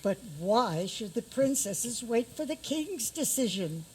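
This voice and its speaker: A woman's voice: fairly high pitch, very animated, slow, darkish tone. A woman narrating a scripted fairy tale introduction for a radio theater play. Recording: faint background noise.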